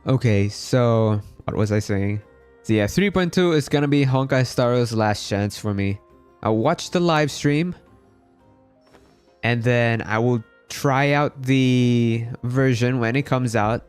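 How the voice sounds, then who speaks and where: Male streamer from the Philippines, casual livestream chat, middling pitch, background music. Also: takes it slowly, clear, resonant tone. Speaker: game streamer.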